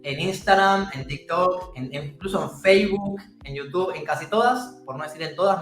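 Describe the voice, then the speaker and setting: Argentine male guest, upbeat and encouraging, slightly high voice, roomy call audio. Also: a bit bright. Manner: enthusiastic, encouraging. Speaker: calisthenics instructor guest. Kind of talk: casual interview chat. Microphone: video call.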